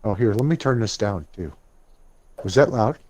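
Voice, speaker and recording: A man's voice: lowish voice, animated, moderate pace, darkish tone, no room sound. Joking man in his fifties, gaming banter. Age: 50s. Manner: joking, teasing, self-mocking about his age. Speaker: co-player on stream.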